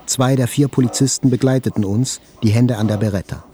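A man's voice: mid-range voice, steady tone, brisk, dark tone, natural voice. An actor playing a composed male patient, recounting his past matter-of-factly as a literary audio drama narrator. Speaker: first-person narrator. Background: faint music.

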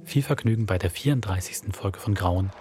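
A man's voice: sits low, brisk, heavy background noise. A man narrating a scripted introduction to a horror audio drama as podcast host, reading from a script.

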